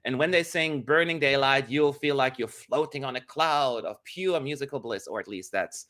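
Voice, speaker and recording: A man hosting a casual online panel chat on a video call, talking in a lively way with lots of fillers, from a home room. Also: mid-range voice, normal speed. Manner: lively, chatty, frequent "y'all" and fillers. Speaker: host. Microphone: studio mic on a video call.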